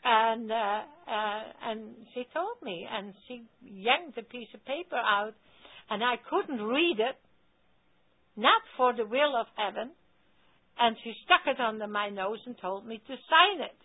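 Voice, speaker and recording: A woman's voice: fairly high pitch, lively intonation, measured pace. A woman with a Dutch accent, telling a story animatedly on a phone call, with emphatic stammered restarts. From Netherlands. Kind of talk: casual talk on a radio call-in. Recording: tinny phone line.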